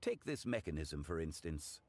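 An actor playing a calm, erudite, centuries-old gentleman consultant in a video game, speaking in formal, measured, eloquent phrases. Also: very deep voice, heavily acted. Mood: composed, instructive.

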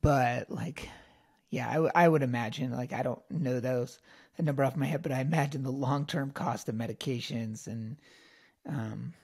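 A man's voice: medium pitch, normal speed, vocal fry, a little mellow, breathy edge. A man in his thirties hosting a medical talk, speaking casually and self-deprecatingly with rambling restarts, into a close studio microphone at home. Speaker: physician host. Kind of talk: casual conversational chat. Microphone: large studio mic close up, headphones on.

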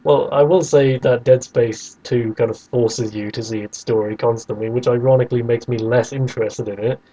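A man with a mellow, relaxed tone argues casually as a podcast host, often slipping into mock quotes. His pitch is average, and the recording is a little dull and lo-fi. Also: normal speed, slightly rough. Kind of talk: casual debate about video games.

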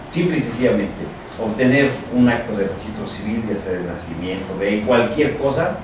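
A Mexican man with a fairly low voice hosts a radio talk in a discursive, conversational way. He sounds distant and very echoey, with his remarks often ending in a check for agreement. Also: lively intonation, normal speed. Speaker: radio host. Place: small radio studio, indoors. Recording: echoey, distant, noticeable background noise.

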